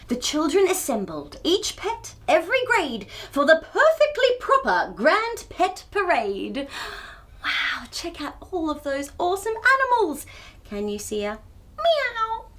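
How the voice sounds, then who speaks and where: A woman hosting a children's storytime, reading a picture book aloud in an expressive, theatrical way and chatting to young viewers. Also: extremely high pitch, unhurried. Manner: expressive, theatrical, with gasps and exclamations.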